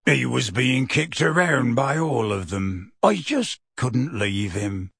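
A man voicing a huge, kindly half-giant for an audiobook, emotional and pleading, with halting, rustic speech. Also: mid-range voice, expressive, vocal fry, a bit bright, slightly rough, no echo, clean studio sound, full character voice. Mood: anxious, confiding. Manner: earnest, halting, rustic dialect grammar. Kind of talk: audiobook character voice.

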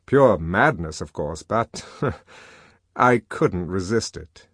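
A man's voice: mid-range voice, normal speed, husky, no room sound, clean studio sound, voice pushed up. A man playing a buoyant, reckless male lecturer and poet in an audiobook, with an amused, emphatic way of talking. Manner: animated, emphatic, amused storytelling. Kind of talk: audiobook character dialogue.

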